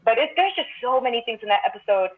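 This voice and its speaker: A woman's voice: a little high, expressive, fast. A woman from Brooklyn, a podcast guest, talking through her thoughts in a reflective, searching way over a remote call. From Brooklyn, New York, United States. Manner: thoughtful, reflective, talks through ideas with many restarts. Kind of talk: casual podcast conversation. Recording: tinny phone-like remote call line.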